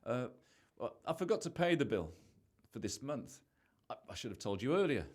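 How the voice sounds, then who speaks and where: A man's voice: medium pitch, big pitch swings, moderate pace. A man in an amateur radio play acting a defensive, sarcastic husband who is hiding something. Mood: evasive, nervous. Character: evasive, irritable husband hiding a secret.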